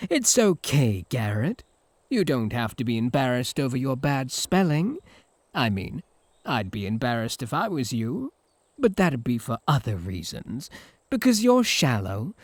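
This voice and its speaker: A man's voice: mid-range voice, dry recording, full character voice. A man with a British accent playing a smug, teasing, possessive incubus in a comic audio roleplay. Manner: mocking, flirtatious banter.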